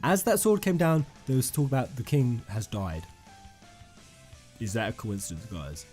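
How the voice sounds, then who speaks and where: A man hosting a fan theory video, rambling and emphatic, addressing his viewers directly in an excited commentary style. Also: mid-range voice, moderate pace. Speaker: gaming YouTuber, host. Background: music under the voice.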